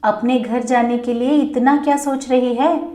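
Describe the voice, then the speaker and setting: A woman's voice: high-pitched, fairly even intonation, measured pace, dark tone, reverberant. A woman voicing a kind but bossy young village woman in a children's story, emphatic and affectionately scolding. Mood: reassuring.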